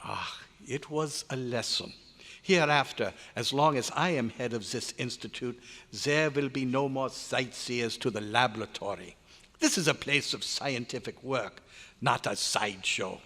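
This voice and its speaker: An actor playing a stern, excitable scientist with a German accent and formal, emphatic phrasing in an old-time radio drama. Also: male voice, mid-range voice, unhurried. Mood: bitter, gruff. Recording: echoey live hall recording, faint noise.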